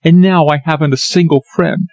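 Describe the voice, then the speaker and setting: A man's voice: fairly high pitch, fairly even intonation, moderate pace, darkish tone, dry recording, pitched above the performer's own voice, lightly acted. A man reading an audiobook, voicing a distressed young woman who speaks in an earnest, anxious, sorrowful way. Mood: tearful.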